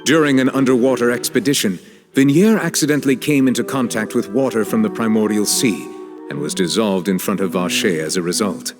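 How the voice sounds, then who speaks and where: An actor playing a stern, composed judge in an anime game, speaking in a formal, authoritative manner. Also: male voice, on the low side, a little fast, lightly acted. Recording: loud music behind.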